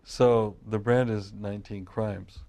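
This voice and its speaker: A man's voice: on the low side, fairly even intonation, slow pace, dark tone. A middle-aged man from California, a wine grape grower, telling long family stories in a casual interview with frequent restarts. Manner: rambling, restarts sentences often.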